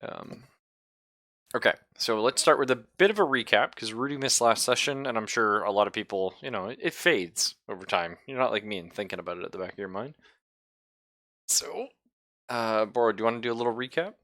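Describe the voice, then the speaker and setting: A man's voice: mid-range voice, animated, fairly quick, pushed and bright, no room sound. A man chatting casually with a group as the game master of an online tabletop role-playing game. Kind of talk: casual group chat while running a game.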